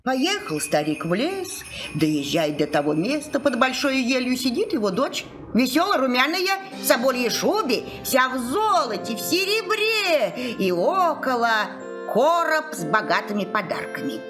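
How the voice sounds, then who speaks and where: A woman storyteller giving an expressive scripted fairy-tale narration. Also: sits high, a little slow. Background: music.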